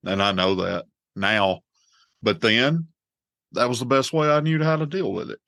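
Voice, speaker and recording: A man with a very deep voice hosts a podcast in plain, earnest talk. He speaks openly about faith. The sound is dry and close, with no room around it. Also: normal speed, creaky, a bit bright, no room sound. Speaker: podcast host. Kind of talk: casual conversation.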